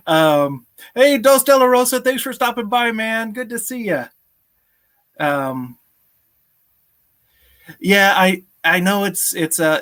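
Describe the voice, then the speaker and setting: A man's voice: high-pitched, a bit bright. A man in his thirties hosting a casual live stream, chatting with viewers and often chuckling, on a video call from a room at home. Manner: chuckles often while talking. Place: small home room with sloped ceiling, indoors.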